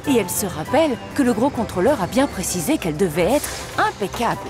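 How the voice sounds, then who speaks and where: A woman's voice: medium pitch, very animated, fast talker, close to an everyday voice. A woman playing the narrator of a children's train cartoon, telling the story expressively from a script. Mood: storytelling. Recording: music behind the voice.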